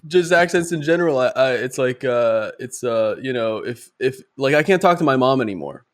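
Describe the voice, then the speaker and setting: A young man visiting as a podcast guest, talking in halting, stumbling restarts and laughing often. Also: mid-range voice, lively intonation. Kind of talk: casual chat.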